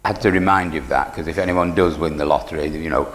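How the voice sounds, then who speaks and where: A man's voice: very deep voice, quick pace, a bit bright. An older man, giving an unscripted live interview. He is plain-spoken and earnest, with a dry wit. The sound carries the long reverb of a hall. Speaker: community radio station manager, interview guest. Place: radio studio stage in an indoor market.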